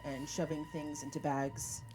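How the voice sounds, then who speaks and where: A woman's voice: sits low, level tone, unhurried, warm tone, low-quality recording. A woman game master narrating a tabletop roleplaying game. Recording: prominent music behind the voice.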